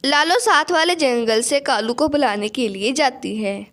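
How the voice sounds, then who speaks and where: A woman narrates a children's story from a script. Her voice sits high, and she delivers the lines at a very slow, measured pace. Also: a bit bright.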